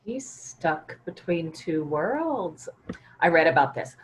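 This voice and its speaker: Woman acting a wry, low-pitched office coworker. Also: sing-song, slow pace, exaggerated voice, low-quality recording. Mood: musing. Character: wry, teasing, perceptive female office coworker. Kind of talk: staged play reading.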